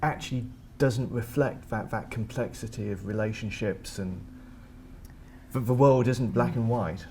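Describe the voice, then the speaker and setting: Mellow-voiced man on a podcast. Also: on the low side, a little slow, a little hiss or hum. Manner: reflective, slight repetitions. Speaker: podcast co-host. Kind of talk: conversational podcast interview.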